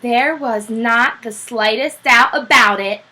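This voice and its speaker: A woman's voice: on the high side, slow. A woman teacher reading a children's chapter book aloud, telling it expressively. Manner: expressive, animated storytelling.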